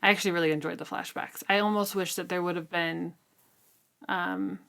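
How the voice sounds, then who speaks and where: A woman's voice: fairly low pitch, fairly even intonation, a little fast, gravelly, fairly bright tone. A woman co-hosting a podcast, giving thoughtful, hedged opinions in a casual book discussion. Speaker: co-host of a book read-along podcast.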